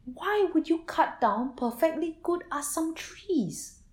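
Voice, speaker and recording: A woman voicing a horrified village man who shouts in alarm and then urgently pleads. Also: animated, takes it slowly, heavily acted. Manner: shouted exclamations, high and strained.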